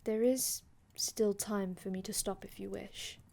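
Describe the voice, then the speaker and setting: A woman voicing a melancholy vampire from an older time in an ASMR roleplay, speaking in a hushed, breathy way with dramatic pauses and formal, old-fashioned wording. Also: mid-range voice, fairly even intonation. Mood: wistful, hushed storytelling. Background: music.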